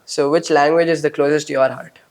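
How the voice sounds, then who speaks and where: Polite higher-voiced interviewer. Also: male voice, fairly even intonation, brisk. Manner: deferential, addresses guest as sir.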